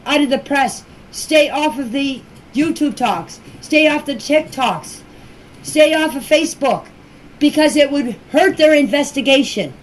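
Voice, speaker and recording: High-voiced older woman, noisy voice clip. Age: older, grandmother. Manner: plain-spoken, repetitive, insistent. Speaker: relative speaking out about a missing children case. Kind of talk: informal spoken answers to group chat questions.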